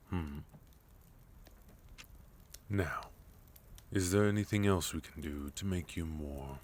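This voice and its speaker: A man's voice: rumbling low, slightly rough, heavily acted. A man playing a sophisticated villain leader in a fantasy ASMR roleplay, polite, formal and soothing as he dotes on a captive guest.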